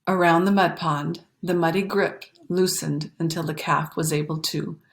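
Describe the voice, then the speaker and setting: Woman reading a picture book aloud, expressively. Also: low voice. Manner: animated, explanatory, pausing for emphasis and dropping to hushed phrases. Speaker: storytime reader. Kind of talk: expressive picture-book read-aloud with asides to young listeners.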